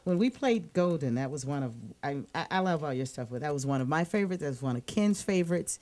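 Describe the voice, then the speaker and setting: A woman's voice: deep voice, animated, darkish tone, slight background noise. A woman hosting a radio talk show in the studio, chatty and playful, often jumping in with questions. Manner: chatty, playful, quick to laugh and interject. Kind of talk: casual radio interview. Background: music bed.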